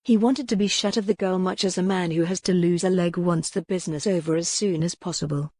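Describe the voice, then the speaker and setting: A woman's voice: on the low side, steady tone, fairly quick, mellow, no room sound, clean studio sound. A woman reading a historical novel as scripted, dramatic audiobook narration.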